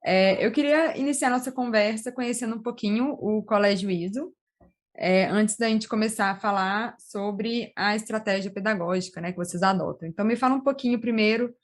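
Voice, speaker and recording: A woman's voice: mid-range voice, fairly quick. A woman co-hosting a podcast, chatting in a friendly, casual way with her guest. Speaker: podcast co-host.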